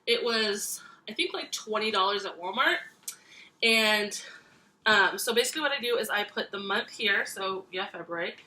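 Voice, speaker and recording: A woman's voice: medium pitch, animated, moderate pace, pushed and bright, rough recording. A young woman in her twenties, congested from a cold, chatting casually to camera about parenting, with many ums and restarts. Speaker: parenting vlogger.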